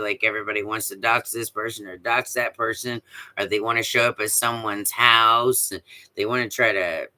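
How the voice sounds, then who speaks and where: A woman with a deep voice chatting casually on a live stream, rambling with many fillers and restarts. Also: sharp tone. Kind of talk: casual chat.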